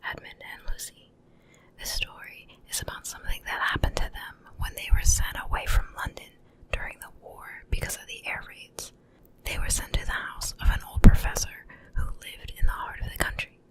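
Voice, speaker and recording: A woman softly whispering ASMR ear to ear into a close mic, breathy and unvoiced, gentle and unhurried like a bedtime reader. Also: rough recording. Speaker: ASMR host reading a bedtime story. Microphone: close stereo mic, ear to ear.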